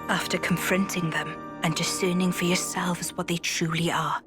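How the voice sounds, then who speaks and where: An actress playing a calm, knowing queen who speaks in a measured, formal way. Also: fairly low pitch, over music, close to an everyday voice. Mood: solemn. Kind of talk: video game cutscene voice acting.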